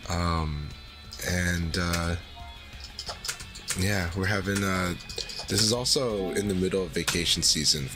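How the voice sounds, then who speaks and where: Low-voiced man chatting on stream. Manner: relaxed, rambling, many fillers. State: voice deeper than usual after secondhand smoke. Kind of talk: casual livestream chat.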